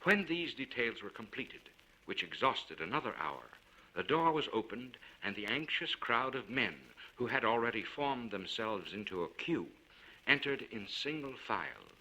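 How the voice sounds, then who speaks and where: A man reading a literary story aloud in a measured, formal and expressive way. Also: medium pitch, low-quality recording. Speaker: audiobook narrator.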